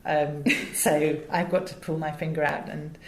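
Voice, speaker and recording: An English woman gives an interview in a fairly low voice. She is expressive and conversational, often interrupting herself mid-thought. The room around her is noticeably echoey. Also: a little slow, a little hiss or hum. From England. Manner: chatty, expressive, self-interrupting. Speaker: interview guest, voice director.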